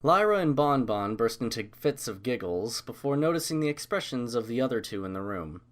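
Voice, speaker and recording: Male storybook narrator, middle pitch. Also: sing-song, a little fast, fairly bright tone.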